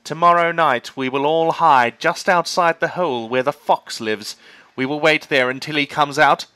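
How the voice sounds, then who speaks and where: A man voicing a thin, crafty farmer who lays out his plan in a slow, smug drawl, in a storybook reading. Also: fairly high pitch, sharp tone, clean tone, no echo, voice pushed up. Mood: scheming.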